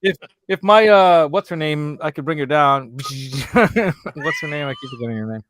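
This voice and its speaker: A man's voice: on the high side, expressive, moderate pace, noisy background. A man with a slight Hungarian accent and Hungarian as his first language, hosting a casual chat show, rambling and laughing easily. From United States. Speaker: host.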